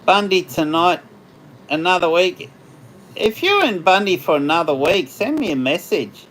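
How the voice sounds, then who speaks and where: An Australian man hosting a casual livestream, chatting with viewers in a rambling way, his voice slightly hoarse after hours of talking. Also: high voice, very animated, moderate pace, a bit bright, low-quality recording. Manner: rambling, chatty, laughs often. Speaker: livestream host. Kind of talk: casual live chat with viewers.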